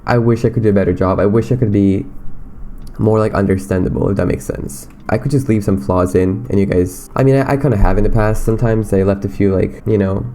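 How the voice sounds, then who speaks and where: Fast-talking young Romanian-accented man. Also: fairly low pitch, warm tone, slight background noise. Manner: rambling, self-deprecating, frequent "like" and "dude". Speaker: podcast host. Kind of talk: solo podcast monologue.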